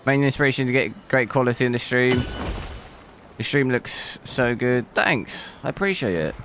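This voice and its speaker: A man streaming a video game live, talking casually through the settings, with a hoarse voice he says he is losing. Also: mid-range voice, animated, moderate pace. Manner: thinks aloud casually, bursts into loud dismayed cries. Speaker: gaming streamer. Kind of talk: live gameplay commentary. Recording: noticeable background noise.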